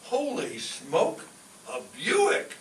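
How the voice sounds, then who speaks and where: An actor playing a blundering, excitable, scheming working-class family man in a 1940s-style radio sitcom. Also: sits high, extremely slow, heavily acted. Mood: excited. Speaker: amateur performer in a staged radio show. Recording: echoey room, distant mic, faint noise.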